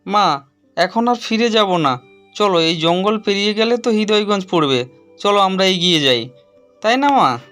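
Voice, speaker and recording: A man acting a high-voiced, determined son. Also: expressive, normal speed, pauses often, fairly bright tone. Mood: reassuring, insistent. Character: determined, pleading son travelling with his mother at night. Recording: music behind the voice.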